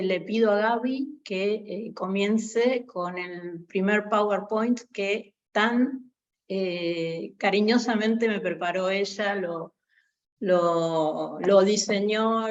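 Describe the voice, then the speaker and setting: Slow, halting Argentine woman lecturing. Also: mid-range voice, lo-fi sound. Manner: hesitant, many fillers. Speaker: guest lecturer. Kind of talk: online theology class.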